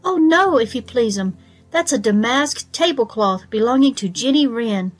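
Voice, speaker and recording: Storybook hedgehog washerwoman, played by a female reader: raised pitch, fussy, humble, rustic politeness. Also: animated, normal speed. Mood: fussy, chatty. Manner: bobbing, servile politeness with rustic turns of phrase. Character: stout little hedgehog washerwoman, anxious, deferential, busy. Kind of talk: children's storybook character voice.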